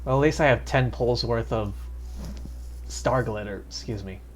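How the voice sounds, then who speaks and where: Casual male game streamer, medium pitch. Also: moderate pace, slight background noise. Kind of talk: casual livestream commentary and reading game dialogue aloud.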